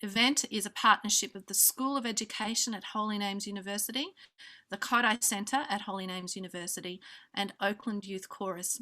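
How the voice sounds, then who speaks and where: Bright Australian woman hosting a conference. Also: mid-range voice. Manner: warm hesitant introductions with many fillers. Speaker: conference host. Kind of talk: welcoming remarks on a video call. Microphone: video call.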